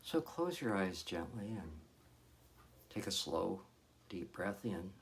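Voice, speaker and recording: A man leading a guided meditation as a hypnotherapist, giving gentle, soothing instructions with long pauses between phrases. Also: deep voice, very animated, a little hiss or hum.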